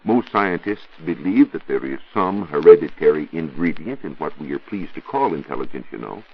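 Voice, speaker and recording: American man, 1940s radio science explainer. Husky, animated delivery, didactic and formal, dull vintage recording. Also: rumbling low, moderate pace. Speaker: science reporter on a radio show. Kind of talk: scripted 1940s educational radio talk. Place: radio studio.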